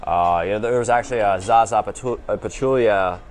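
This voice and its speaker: A man's voice: fairly low pitch, a little fast, a little hiss or hum. A man co-hosting a sports show, giving casual basketball analysis in a conversational way.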